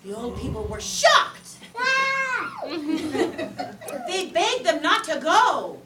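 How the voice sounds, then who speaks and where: A woman telling a story to young children in a playful, lively way with drawn-out emphasis, in a classroom. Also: extremely high pitch, crowd noise. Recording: echoey room from far off.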